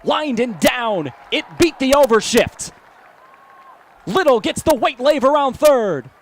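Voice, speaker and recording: A man's voice: extremely high pitch, lively intonation, slow. A man with an American accent calling baseball play-by-play as a live broadcast commentator. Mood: excited.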